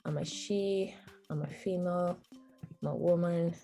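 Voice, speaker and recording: A woman's voice: lowish voice, fairly even intonation, unhurried, vocal fry, dark tone. A young woman from Kenya whose first languages are Swahili and Luo, chatting casually as she walks through a form on screen, thinking aloud and laughing at herself. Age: young adult. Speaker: video tutorial host. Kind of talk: screen-recorded walkthrough.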